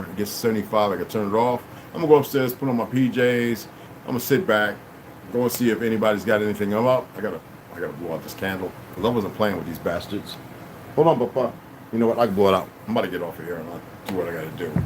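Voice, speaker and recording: A man hosting a livestream at home, chatting casually and rambling, thanking donors and often asking "you know what I mean". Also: on the low side. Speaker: livestream host. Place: room at home. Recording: steady air-conditioner hum behind.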